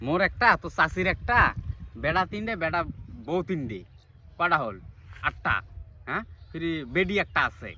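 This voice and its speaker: A man's voice: fairly high pitch, sing-song, moderate pace, long pauses, bright, forceful tone, slight background noise, heavily acted. A man playing a crafty older farmer in a village comedy skit, grumbling and arguing in a folksy rural North Bengal accent. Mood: easygoing. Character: crafty, hard-working older village farmer, touchy about bribes.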